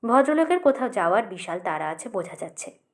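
A woman's voice: medium pitch, very animated, quick pace. A woman narrating a literary short story expressively, as an audiobook reader.

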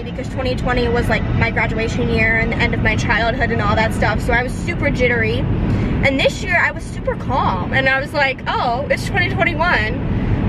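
An eighteen-year-old woman with an American accent, chatting casually and rambling to a camera while she drives, peppering her talk with "like". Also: sits high. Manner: informal, youthful, frequent "like" as filler. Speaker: vlogger. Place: inside a moving car. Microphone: camera mounted on dashboard, arm's length. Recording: loud car and road noise.

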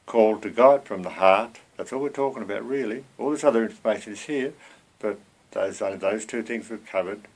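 An elderly man in his seventies with a fairly low voice speaks as a lay preacher. His delivery is earnest and conversational, like an informal sermon given from a small room at home, with rhetorical questions put to the listener. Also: moderate pace, a little mellow. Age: 70s.

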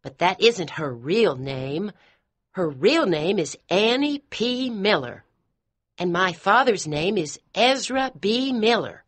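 A man's voice: sits high, expressive, slow, a bit bright, voice pushed up, heavily acted. A man voicing a blunt, cheeky young American boy in a storybook narration, with a childish, insistent manner. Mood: matter-of-fact.

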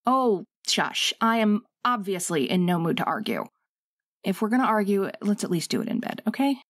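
A woman's voice: mid-range voice, sing-song, moderate pace, no room sound. A woman acting a bossy, loving wife, close and dry. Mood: sassy scolding. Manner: scolding, teasing, coaxing, conversational. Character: bossy, sassy but loving worried young wife. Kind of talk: intimate ASMR audio roleplay.

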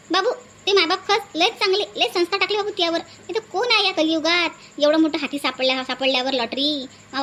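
Woman as very high-pitched, gushing village auntie. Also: a bit bright, a little hiss or hum. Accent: Vidarbha Marathi. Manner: gushing, insistent, repeats herself. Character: warm-hearted, admiring older village auntie. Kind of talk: comedy cartoon dialogue.

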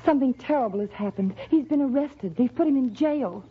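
An earnest, troubled American ranch girl in a radio Western. Her voice is husky and urgent. The recording is very thin and narrow, like a phone line. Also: high-pitched, expressive, fairly quick, exaggerated voice. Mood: distressed, pleading. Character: distressed, earnest rancher's daughter. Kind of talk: 1940s radio Western acting.

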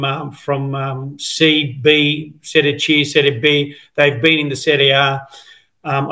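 Man in his fifties, born in Italy, bright slightly high voice, rambling with fillers, laptop video call. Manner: rambling storytelling with many fillers. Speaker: guest, former footballer. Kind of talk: casual interview over video call. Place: home room, indoors. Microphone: video call, laptop camera.

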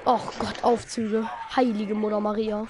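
A hoarse woman chatting and shouting excitedly while playing a game with friends. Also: medium pitch, measured pace, noisy background. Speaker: gamer. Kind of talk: casual let's-play banter.

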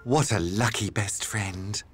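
An actor playing a kindly grown-up companion and narrator in a children's cartoon, gently asking questions in an expressive way. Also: male voice, on the low side, takes it slowly. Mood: gentle. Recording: loud cartoon music behind.

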